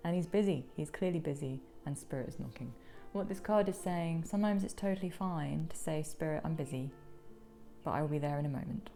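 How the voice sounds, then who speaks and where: A woman with a croaky voice giving a gentle pick-a-card tarot reading, slipping into soft whispers now and then. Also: low-pitched, fairly quick, soft background music. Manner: gentle, soothing, drops into whispers.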